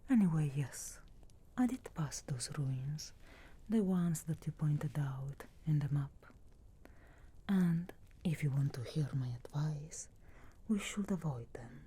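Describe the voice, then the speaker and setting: A woman voicing a sharp-tongued, sarcastic but reluctantly caring witch in a slow, breathy, whispered ASMR fantasy roleplay. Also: deep voice, full character voice. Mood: brooding, dry. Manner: slow, deliberate, dry sarcastic teasing.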